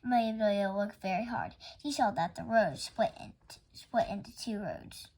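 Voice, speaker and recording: A woman's voice: medium pitch, normal speed, darkish tone. A woman reading a children's picture book aloud as a storytime host.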